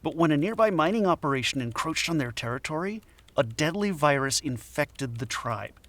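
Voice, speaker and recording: A man's voice: on the high side, a bit bright. A man playing a passionate, earnest anthropology professor in his late thirties in an audio drama. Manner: earnest, emphatic lecturing. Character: passionate, frazzled 39-year-old male anthropology professor.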